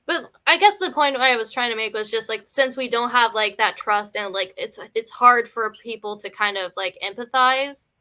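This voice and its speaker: Lady talking movies casually, pretty high sharp voice, says like and you know a lot. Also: normal speed, few pauses. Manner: hedging, peppered with "like" and "you know". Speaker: film review panelist. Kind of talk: casual film discussion.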